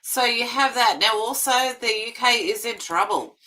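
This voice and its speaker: Bright-voiced woman hosting. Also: fairly high pitch. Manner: chatty, emphatic, quick asides. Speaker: live stream news host. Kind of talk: casual news commentary.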